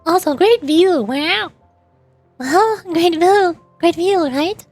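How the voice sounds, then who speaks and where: A woman voicing a small, excitable, blunt female fairy companion in a game. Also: very high-pitched, takes it slowly, heavily acted. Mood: awed. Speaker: vtuber voicing game lines. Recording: faint music behind.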